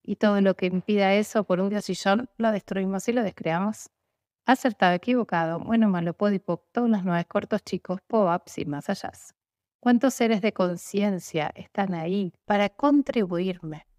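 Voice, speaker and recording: Woman reciting rhythmic clearing statements. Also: mid-range voice, moderate pace, dry recording, clean studio sound. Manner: steady rhythmic recitation of set phrases. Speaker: facilitator.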